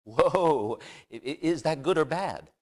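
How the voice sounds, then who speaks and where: An actor playing a flustered, puzzled TV interviewer in a video game cutscene, hesitant and stammering. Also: male voice, fairly high pitch, lively intonation, takes it slowly, darkish tone.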